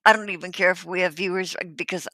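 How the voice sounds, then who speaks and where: A woman co-host in a casual reaction chat, amused and chatty, with drawn-out asides. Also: fairly low pitch, fairly even intonation, pushed and bright, no echo.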